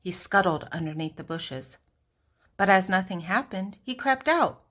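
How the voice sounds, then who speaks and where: An older woman narrating a children's storybook expressively, with deliberate dramatic pauses and emphasis. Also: medium pitch, lively intonation, a bit bright.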